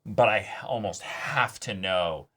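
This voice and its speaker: A man's voice: on the low side, slow pace, fairly bright tone. A man co-hosting an anime reaction show, talking through his thoughts in a casual, emphatic, analytical way.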